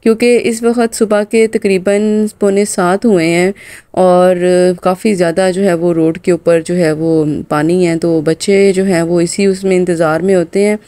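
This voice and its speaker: A hoarse woman from Pakistan in her thirties, talking through her morning as a mom vlogger. Her voice sits low and mellow, and she speaks in a loose, chatty way padded with filler phrases. The recording is close and dry. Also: fairly even intonation, moderate pace, no echo. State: hoarse from a sore throat. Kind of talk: casual voice-over narration of a daily vlog.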